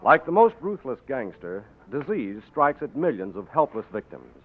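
A male announcer delivering an emphatic, salesman-like sponsor message and closing credits on a 1940s radio show. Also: medium pitch. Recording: tinny old radio broadcast with music.

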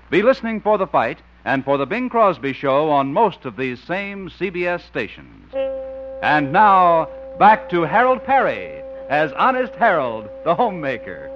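A man reading scripted announcements as a polished, formal 1950s radio announcer. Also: on the high side. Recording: dull old-time radio recording.

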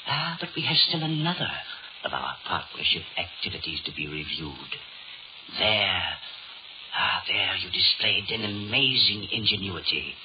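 Very deep, sinister gloating villain. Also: male voice, very animated, a little slow. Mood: gloating relish. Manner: mocking, theatrical, savoring rhetorical questions. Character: sinister, gloating male partner in crime reviewing misdeeds. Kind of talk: 1930s radio horror acting. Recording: dull, noisy 1930s radio recording.

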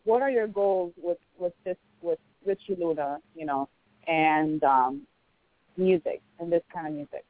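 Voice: medium pitch, a little slow, dry recording. An interview host reading an intro and asking questions in a hesitant, filler-filled way. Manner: hesitant, many fillers and restarts. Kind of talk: casual interview. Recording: tinny dry phone line.

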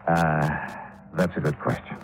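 An American actor playing a tough, probing insurance investigator in a 1950s radio drama, clipped and commanding. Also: male voice, very deep voice, level tone, measured pace, vocal fry, faint music behind, heavily acted, rough recording. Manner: clipped, commanding, hard-boiled. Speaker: narrator and lead actor. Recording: 1950s radio broadcast recording.

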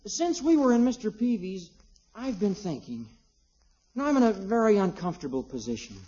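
A young actor playing an earnest, penny-pinching son-in-law in a 1950s radio comedy, polite, prim and given to moralizing. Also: male voice, sits high, big pitch swings, moderate pace, warm tone, breathy edge, full character voice. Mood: contrite, polite. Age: young adult. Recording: muffled 1950s radio recording.

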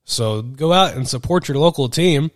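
A man's voice: a little high, animated, normal speed, no echo, studio quality. A man with a head cold, hosting a podcast and chatting through the wrap-up of an episode. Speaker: podcast host.

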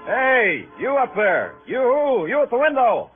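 Wry american police detective, old radio crime show, music around, thin muffled recording. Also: male voice, very high-pitched, very animated, takes it slowly, full character voice. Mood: calling out. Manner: clipped, wry, hard-boiled delivery. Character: hard-boiled wry New York night-shift police detective. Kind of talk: 1950s radio crime drama acting, first-person narration.

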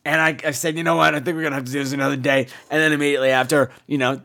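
A man hoarse from laryngitis, hosting a casual comedy podcast in a cheeky, theatrical way. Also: on the high side, little pitch movement, very fast, bright, forceful tone. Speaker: podcast host.

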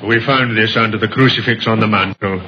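A man's voice: fairly low pitch, fairly even intonation, fairly quick, natural voice. A man playing a dutiful police sergeant in a 1950s radio drama. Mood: matter-of-fact. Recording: dull, noisy old radio recording.

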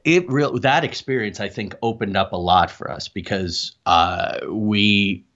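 Lowish-voiced man recounting, slightly muffled sound. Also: measured pace, a bit bright. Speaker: interview guest, paranormal researcher. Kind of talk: recounting personal experiences in conversation.

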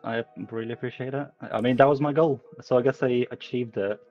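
A man's voice: mid-range voice, steady tone, quick pace, lo-fi sound, faint music behind. A man from Lithuania, a 3D artist, speaking modestly and casually as a guest, laughing often. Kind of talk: casual interview.

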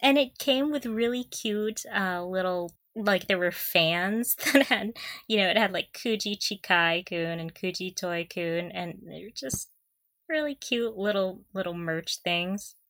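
A woman's voice: medium pitch, unhurried, a bit bright. A woman co-hosting a podcast in casual fan chat, gushing and giggly as she talks. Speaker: podcast co-host.

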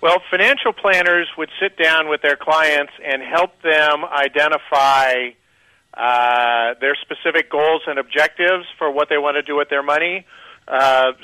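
Bright-toned man answering with fillers. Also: on the high side, dry recording, low-quality recording. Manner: measured with many fillers. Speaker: guest portfolio manager. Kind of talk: radio interview answers.

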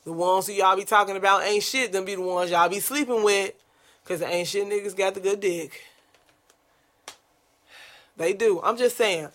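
A young woman with a New York accent and a deep-sounding voice, co-hosting a casual podcast in a blunt, profane, animated way. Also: moderate pace, long pauses. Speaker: podcast co-host.

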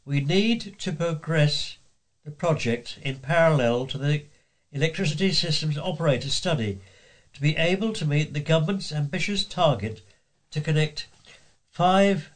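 A man's voice: a little high, low-quality recording. A man reading scripted local news as a volunteer newsreader.

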